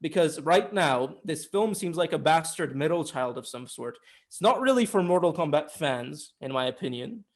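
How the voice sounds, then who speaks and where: A man with a voice a little higher than most co-hosts a film review show. He talks informally and argues his opinions bluntly, with some swearing. The delivery is that of a casual discussion. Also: lively intonation, normal speed, hardly any pauses. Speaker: film review podcast co-host.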